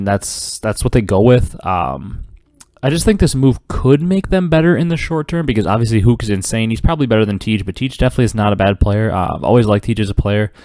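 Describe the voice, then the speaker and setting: A man's voice: on the low side, no room sound, studio quality. A young man in his twenties with a cold and a congested voice, hosting a casual solo esports podcast full of fillers and rambling asides. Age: 20s. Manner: rambling, filler-heavy, excited esports talk. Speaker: podcast host.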